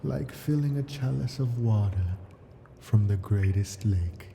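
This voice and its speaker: An ancient male dragon, played by a man, speaks in a low, very soft-edged dark voice at a slow pace. He is possessive and coaxing, with an imperious menace beneath the doting. It is an intimate ASMR fantasy roleplay. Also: gravelly, a little hiss or hum. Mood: patient, persuasive. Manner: slow, coaxing, imperious, formal storybook diction.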